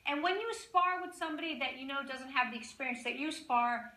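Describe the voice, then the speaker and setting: A woman's voice: high voice, fairly quick, pushed and bright. A woman presiding as TV judge, probing and wry as she questions the parties. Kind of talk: courtroom questioning. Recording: echoey courtroom.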